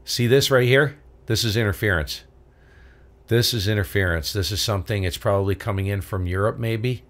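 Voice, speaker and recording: Man from Vermont, low voice, chatty radio-hobby host who exclaims at his screen. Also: a bit bright. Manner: spells call signs slowly and clearly on air. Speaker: amateur radio operator and stream host. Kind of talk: casual live-stream commentary and radio contacts.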